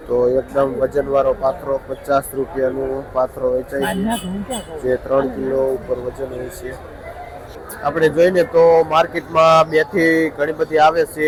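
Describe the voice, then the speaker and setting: Outdoors amid clear crowd and traffic noise, a man reports as a market vlogger. His voice sits a little high and stays very even in tone. He speaks with a Saurashtra Gujarati accent, in a plain, repetitive price-reporting manner. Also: low-quality recording. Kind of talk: on-the-spot vlog commentary with brief questions to vendors. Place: busy outdoor vegetable market. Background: crowd chatter and traffic.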